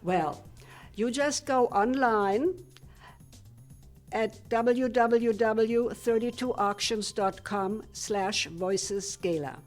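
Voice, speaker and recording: An older woman from Germany, a retired physicist, answering questions in a studio TV interview in a measured, plain-spoken way. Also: a little high, a little mellow, soft background music. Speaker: guest, retired physicist turned painter.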